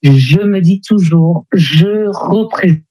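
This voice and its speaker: A woman's voice: fairly low pitch, slow pace, mellow, breathy edge, no echo, poor audio. A woman speaking as a guest in a conversational radio interview, hesitantly searching for her words. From Democratic Republic of the Congo. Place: radio studio.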